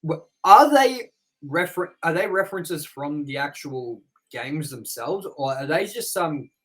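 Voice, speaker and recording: A man's voice: on the high side, expressive, measured pace, a bit bright, rough recording. A man co-hosting a casual movie review chat, reading out figures and firing questions at his partner with fillers and restarts. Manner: reads figures, then prompts partner with questions. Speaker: co-host.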